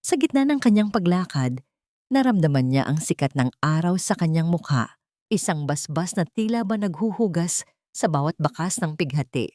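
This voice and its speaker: Woman, slightly low voice, dramatic story narration, solemn and sentimental, measured delivery, dry close sound. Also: animated, warm tone, dry recording.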